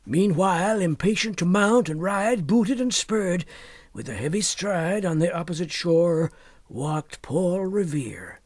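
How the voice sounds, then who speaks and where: A man with a husky voice reciting a narrative poem theatrically, swelling and dropping toward hushed tones. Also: high-pitched, lively intonation, measured pace, no room sound, clean studio sound. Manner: theatrical, with swells and hushed drops to near whisper. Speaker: poetry reader.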